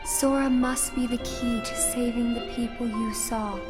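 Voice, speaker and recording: A woman as a gentle, high-voiced, wistful girl. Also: fairly even intonation, measured pace, over music, close to an everyday voice. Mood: solemn. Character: gentle, wistful teenage girl, softly solemn. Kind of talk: video game cutscene acting.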